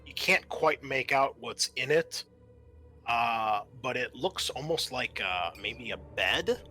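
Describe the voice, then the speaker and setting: A man working as game master, improvising halting, descriptive narration for a tabletop sci-fi horror game. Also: mid-range voice, expressive, pushed and bright, a little hiss or hum.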